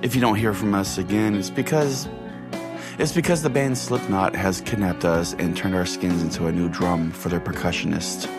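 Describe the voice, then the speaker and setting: A man narrating a first-person story as a podcast host, with deliberate pauses and a dramatic delivery. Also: deep voice, steady tone. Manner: deliberate, pausing. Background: music.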